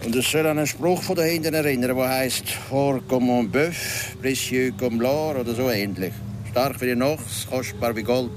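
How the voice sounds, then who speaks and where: A man's voice: mid-range voice, moderate pace, hardly any pauses, background noise, close to an everyday voice. An actor playing a wary, guarded local man in a radio drama, with a Swiss German accent and a terse, formal manner. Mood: reserved, explaining.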